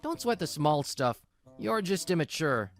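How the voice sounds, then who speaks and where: A scornful young husband acted by a woman in a manga drama, low in pitch with lively swings. He is callous and spoiled, with music underneath. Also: a little slow, exaggerated voice. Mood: dismissive. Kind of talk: manga dub drama acting. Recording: music behind the voice.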